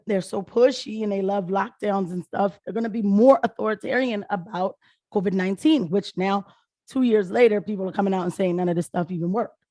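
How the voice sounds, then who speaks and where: Hoarse American woman in her thirties, dry sound. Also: medium pitch, a little mellow, dry recording. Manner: informal, rambling. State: hoarse after being sick. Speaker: political video commentator. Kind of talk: casual talk to viewers.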